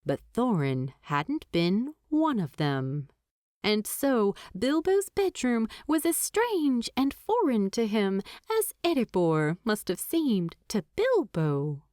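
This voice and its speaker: A woman reads a story aloud at a slow, deliberate pace. Her medium-pitched voice moves expressively up and down, recorded dry like an audiobook. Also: dry recording. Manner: unhurried, expressive, deliberate phrasing. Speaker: narrator. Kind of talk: scripted fiction narration, audiobook storytelling.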